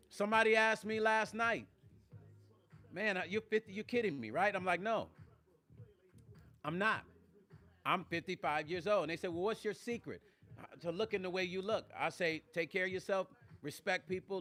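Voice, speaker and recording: Bright-voiced man in his fifties. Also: sits high. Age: 50s. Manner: animated, preachy, talks straight to viewers. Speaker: vlog host reading live chat. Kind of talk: casual livestream chat.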